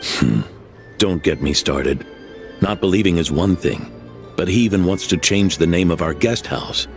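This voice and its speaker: A man's voice: deep voice, unhurried. An actor playing a proud, elderly male innkeeper who tells old family legends and scolds skeptics. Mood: indignant. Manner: grave, lecturing, theatrical. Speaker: guest house keeper. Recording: game audio with faint music.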